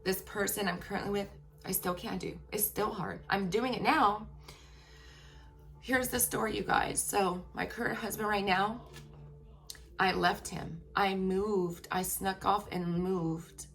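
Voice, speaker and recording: Frank, gravelly-voiced woman vlogger. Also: medium pitch, fairly even intonation, a little slow, fairly bright tone. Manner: emphatic, frank, keeps checking in with viewers. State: voice getting raspy after a long workday. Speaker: vlogger telling a personal story. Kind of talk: candid personal storytelling to viewers.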